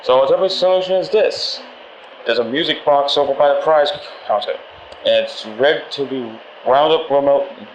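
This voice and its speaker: A man's voice: medium pitch, fairly quick. A man playing a friendly, nervous pizzeria employee in a game, leaving a hesitant, awkwardly upbeat training message over the phone. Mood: uneasy, reassuring. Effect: telephone voicemail. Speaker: company trainer for night guards. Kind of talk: rambling recorded phone message, game voice acting. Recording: muffled, roomy phone audio with faint noise.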